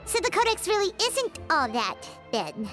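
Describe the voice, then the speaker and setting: A woman's voice: extremely high pitch, huge pitch swings, moderate pace, a bit bright, faint music behind, heavily acted. A woman voicing a small, childlike fairy companion in a video game, chirpy, naive and full of questions. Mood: curious. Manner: refers to self in third person, exclaims, questioning.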